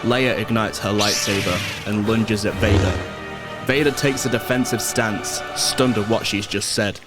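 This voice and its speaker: A man's voice: on the low side, fairly even intonation, a little slow. A man narrating a scripted audio drama. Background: music.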